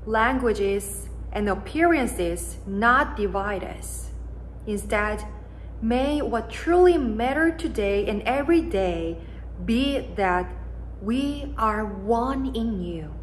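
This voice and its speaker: A young woman, a native Korean speaker, leading prayer as an associate pastor in a church sanctuary, speaking slowly and solemnly from a pulpit. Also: a little high, expressive, a little hiss or hum, low-quality recording. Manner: slow, solemn and measured.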